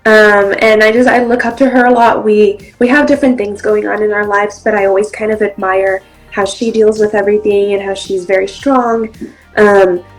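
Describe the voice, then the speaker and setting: A woman's voice: mid-range voice, little pitch movement, moderate pace, faint music behind, poor audio. Chatty young Brazilian woman. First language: Portuguese. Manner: friendly, chatty, many ums and likes. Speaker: interview guest, actress and model. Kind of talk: casual interview answers.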